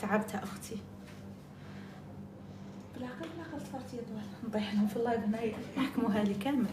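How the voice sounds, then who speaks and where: Algerian woman chatting fast on a live stream, echoey room, noisy background. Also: a little high. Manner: chatty, jumps between topics, mixes in French and English. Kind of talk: casual live-stream chat.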